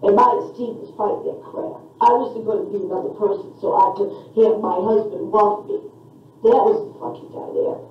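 A woman's voice: medium pitch, steady tone, brisk, lo-fi sound. Angry woman on an echoey, distant tape. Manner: angry, defiant, profane. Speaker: therapy patient on a session tape. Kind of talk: heated venting in a therapy session.

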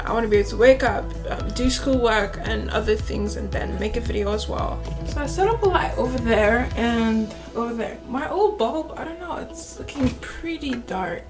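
A young woman, a student vlogger, talking casually to a handheld camera at arm's length, full of "like" and "you know". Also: a little high. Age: young adult. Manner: chatty, loose, many "like" and "you know" fillers. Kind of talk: casual vlog talk to camera. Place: small bedroom and back seat of a taxi. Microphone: handheld camera on a small tripod at arm's length.

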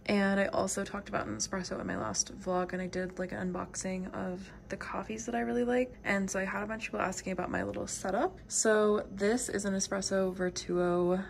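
A young woman with a raspy voice chatting casually about books and coffee at home, rambling with lots of "like". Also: mid-range voice, slight background noise. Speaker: book vlogger. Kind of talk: casual vlog chat. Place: home, bedroom and kitchen.

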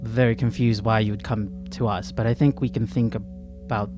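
A young man improvising dialogue as a player in a tabletop game, questioning in a hesitant, halting way. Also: on the low side, steady tone. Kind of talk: improvised in-character dialogue in everyday voice. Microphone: close podcast microphone on stand. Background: instrumental music.

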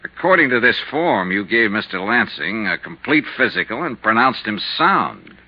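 A man's voice: on the low side, very animated, normal speed. An American man acting a tough, persistent insurance investigator in a 1950s radio detective drama, clipped and probing. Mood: businesslike. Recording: muffled lo-fi 1950s radio recording.

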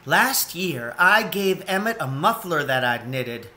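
A man's voice: on the high side, big pitch swings, unhurried, sharp tone, voice pushed up, full character voice. A man voicing a weary, hopeful mother otter in a storybook, earnest and plain-spoken. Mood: wistful.

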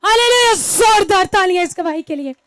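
Very high-voiced woman church host. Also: animated, a little fast, a bit bright, no echo. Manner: prompting, exclamatory praise. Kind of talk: interviewing at a church stage. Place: indoor church stage. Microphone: handheld mic.

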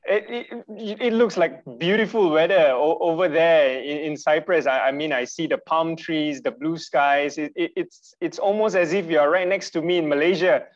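A man's voice: a little high, moderate pace, hardly any pauses. A man in his thirties moderating an online webinar interview, polite and restarting often with repeated words. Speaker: moderator, host. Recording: dull distant video call audio.

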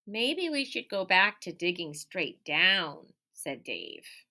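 A woman's voice: fairly low pitch, sing-song, takes it slowly, bright, forceful tone. A woman in her thirties, an elementary teacher, reads a picture book aloud expressively, stressing key words and prompting young listeners with questions. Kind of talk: animated children's picture-book read-aloud with asides. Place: small indoor room by a window.

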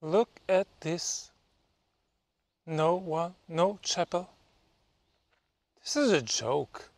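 A man's voice: fairly high pitch, animated, extremely slow, dry recording. A Belgian man in his fifties vlogging outdoors in a forest, telling a story in a playful, mock-dramatic way to a handheld camera. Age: 50s. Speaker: travel vlogger. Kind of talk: casual vlog to camera.

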